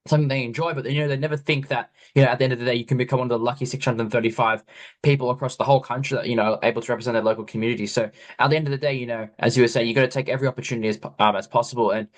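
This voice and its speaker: An Australian podcast host interviewing a guest, rambling with many "you know"s. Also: male voice, mid-range voice, steady tone, rapid-fire. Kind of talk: interview chat.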